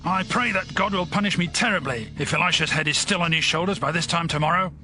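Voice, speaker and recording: A man's voice: fairly high pitch, fast, close to an everyday voice. An actor playing an agitated, exasperated and vengeful king in a dramatized Bible reading. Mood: anguished rage. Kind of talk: dramatized Bible audio acting. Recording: music behind the voice.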